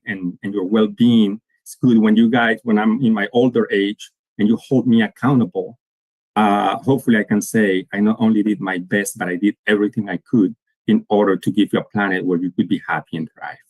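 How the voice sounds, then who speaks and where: A man's voice: fairly low pitch, a little mellow, no echo, low-quality recording. A man, giving an earnest conference talk to students and restarting his phrases often. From Colombia. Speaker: guest speaker.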